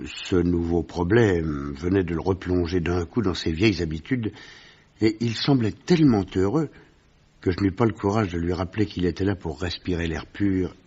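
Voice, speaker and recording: Casting: doctor-narrator, deep and husky, composed and sensible, detective radio play with dull sound. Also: male voice, moderate pace, darkish tone. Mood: composed, storytelling. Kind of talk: scripted radio drama narration.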